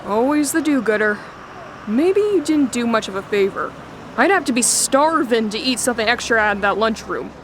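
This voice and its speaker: A woman's voice: on the high side, lively intonation, moderate pace, a bit bright, slight background noise, poor audio. A woman playing a wry, wary middle school boy in an audio drama, teasing and slangy.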